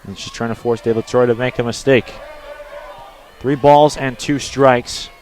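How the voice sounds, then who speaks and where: A man doing live radio play-by-play as an announcer, lifting into excited calls on big plays. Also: mid-range voice, measured pace. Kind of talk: live radio sports commentary.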